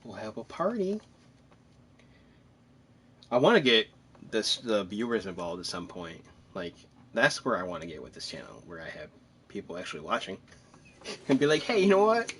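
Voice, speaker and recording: A man chatting casually as he streams a game, joking and rambling in an animated way. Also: fairly low pitch, normal speed, long pauses, a little hiss or hum. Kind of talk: casual gameplay commentary and chat.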